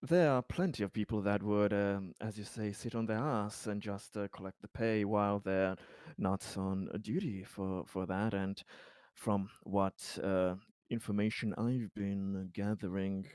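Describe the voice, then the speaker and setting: A man acts out a careful corporate executive in a tabletop game. He is lowish in pitch and formally polite, feeling his way through long, halting sentences. The sound is close and dry. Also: steady tone, a little mellow, smooth, dry recording, natural voice. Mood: politely disapproving. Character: cautious, diplomatic male corporate executive.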